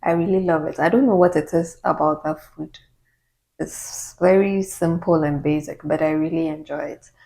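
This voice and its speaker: A woman with a Ghanaian accent and a notably low voice answers viewer questions to camera. She tells her stories calmly and steadily, in a relaxed vlog style. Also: moderate pace, gravelly, low-quality recording. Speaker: YouTuber. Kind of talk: casual get-to-know-me Q&A.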